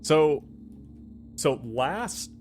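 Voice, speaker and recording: A man's voice: fairly high pitch, animated, drawn-out words, slight background noise. A man hosting a tabletop game session as game master, bantering casually with his players and joking with some swearing. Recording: music playing behind the voice. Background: music.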